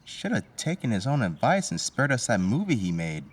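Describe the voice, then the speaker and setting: A man playing a wisecracking, awkward high school boy in an audio drama, joking in a dry way. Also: sing-song, normal speed, husky, recorded from a distance.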